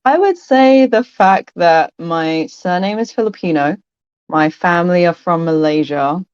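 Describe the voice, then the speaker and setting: A woman from London, a podcast guest who answers thoughtfully, pausing and restarting phrases. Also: fairly low pitch, a little mellow, smooth, no echo, muffled recording. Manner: thoughtful, hesitant, restarts phrases and laughs often. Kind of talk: casual interview conversation.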